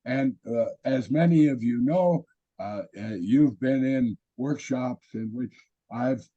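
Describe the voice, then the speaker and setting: A hoarse man with a raspy voice giving a webinar presentation over a video call, halting with many fillers. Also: medium pitch, dark tone, dull audio. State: hoarse from illness.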